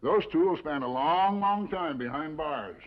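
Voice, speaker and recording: An actor playing a respectful, plain-spoken sheriff in a 1950s television western, with an American accent. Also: male voice, on the high side, animated, a little slow. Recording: muffled old TV soundtrack.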